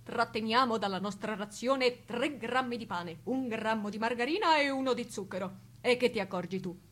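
A woman's voice: fairly high pitch, normal speed, natural voice. A woman playing an earnest, resolute male camp commander in a stage play, declaiming an appeal. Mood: earnest, urging.

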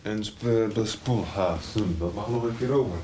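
A man's voice: fairly low pitch, moderate pace, darkish tone, roomy sound, a little hiss or hum. A man chatting casually and bluntly during a radio drama rehearsal. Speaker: radio drama cast member.

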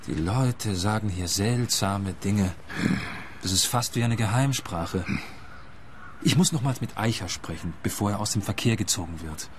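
An actor playing a naive, bumbling detective from Germany, earnest and literal-minded, in a radio play. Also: male voice, fairly low pitch, moderate pace, a little hiss or hum, natural voice.

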